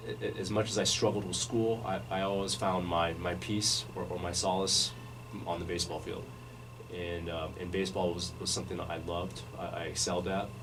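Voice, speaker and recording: A man in his forties telling his life story as a radio interview guest, measured and full of restarts. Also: low voice. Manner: measured, many restarts and fillers. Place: radio studio. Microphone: studio microphone, recorded from afar. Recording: distant mic with background noise.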